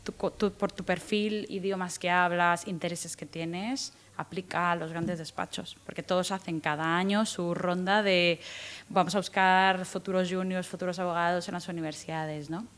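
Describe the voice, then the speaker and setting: A woman in her thirties from Guatemala, with a faint Guatemalan accent softened by Spanish from Spain, answering interview questions as a guest lawyer with frequent restarts and fillers. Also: fairly low pitch, moderate pace, clear, resonant tone. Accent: light Guatemalan, mostly Spanish from Spain. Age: 30s. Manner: thoughtful, many restarts and fillers, lively rises when retelling.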